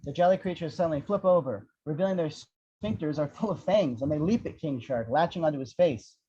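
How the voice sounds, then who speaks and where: A man's voice: fairly high pitch, brisk, mellow, husky. A man narrating action descriptions at a script table read, with irreverent, wry asides. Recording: dull, lo-fi audio.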